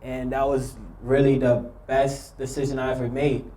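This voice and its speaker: Young man, from Haiti, nervous, hesitant, flat delivery, dark tone. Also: medium pitch, normal speed, a little hiss or hum, low-quality recording. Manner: nervous, hesitant, many ums. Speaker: youth speaker sharing his story. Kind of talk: personal testimony speech.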